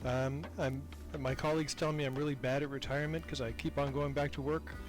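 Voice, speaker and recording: A man's voice: medium pitch, little pitch movement, brisk, over music. An older man chatting casually as a podcast guest, talkative and self-deprecating. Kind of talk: casual interview chat.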